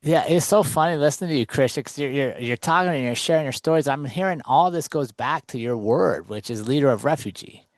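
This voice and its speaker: A man's voice: fairly high pitch, brisk, few pauses, no echo. A man hosting a podcast, affirming and encouraging, summing up what his guest says. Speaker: podcast host. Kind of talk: interview chat.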